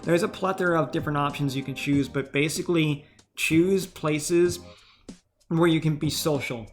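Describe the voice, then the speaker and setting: A man's voice: on the high side, fairly even intonation, moderate pace, soft background music. A man streaming as a VTuber, giving casual, earnest and supportive advice in response to reddit posts.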